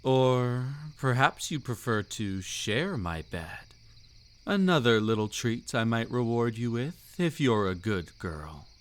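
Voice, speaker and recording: A man's voice: mid-range voice, sing-song, slow pace, smooth. A man playing a seductive, commanding vampire count in an intimate audio roleplay, suave, teasing and theatrically formal. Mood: intimate, possessive. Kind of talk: intimate roleplay audio drama, scripted monologue.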